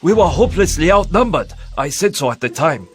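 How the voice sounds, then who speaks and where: An actor playing a proud, scheming Korean court minister in a video game cutscene, formal and indignant in manner. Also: male voice, on the high side, sing-song, brisk, slightly rough. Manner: indignant, self-assured, formal. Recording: game audio with music underneath.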